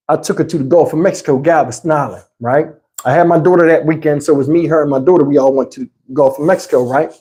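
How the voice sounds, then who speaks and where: A man's voice: on the high side, dark tone. A middle-aged man telling a story casually as a vlog host, in a slangy, profane and emphatic way.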